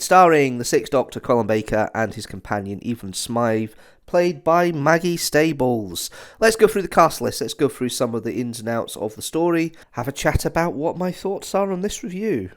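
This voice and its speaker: Male review host, mid-pitched, enthusiastic and chatty with emphatic delivery. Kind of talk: enthusiastic audio drama review.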